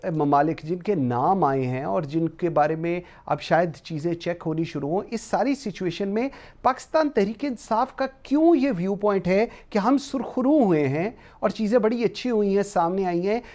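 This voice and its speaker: A man's voice: high voice, darkish tone. A man with a Pakistani accent hosting a political discussion show, mixing English phrases into his speech. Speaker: talk show host.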